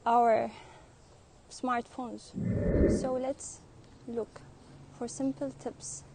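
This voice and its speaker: A young woman student interviewing people and then sharing her own thoughts in a vlog, speaking thoughtfully with pauses. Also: fairly high pitch, a little mellow, a little hiss or hum. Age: young adult. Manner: slow, thoughtful, hesitant delivery. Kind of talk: street interviews and personal vlog talk.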